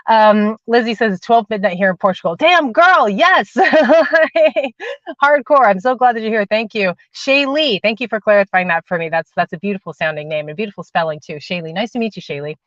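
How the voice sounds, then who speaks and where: A woman's voice: mid-range voice, animated, normal speed. A woman speaks into her phone from the cramped cabin of a parked car, hosting a spiritual livestream. She chats easily, calling her viewers darling and sweetheart, and she leads a meditation while still getting over a cold, with a cough drop in her mouth. The sound is dull and lo-fi and very dry, with no room echo. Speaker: spiritual channeler and host. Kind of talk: casual livestream chat and guided meditation.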